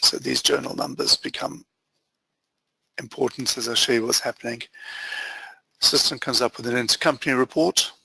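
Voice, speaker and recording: A man presenting an instructional software demo as a trainer, explaining methodically step by step in short phrases. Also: mid-range voice, fairly even intonation, moderate pace, creaky, pauses often, husky, no room sound, poor audio. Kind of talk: instructional screen-share walkthrough.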